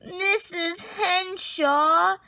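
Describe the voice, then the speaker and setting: Woman as excitable slow-drawling schoolchild. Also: very high voice, gravelly, voice pushed up, heavily acted. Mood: whiny complaint. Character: excitable schoolchildren, wide-eyed and complaining.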